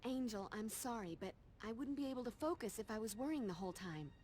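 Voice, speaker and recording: An actress playing a young, determined vampire slayer in a video game, flippant and plucky. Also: female voice, fairly high pitch, animated, quick pace, a little hiss or hum, close to an everyday voice. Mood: concerned, firm. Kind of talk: video game heroine acting. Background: loud music.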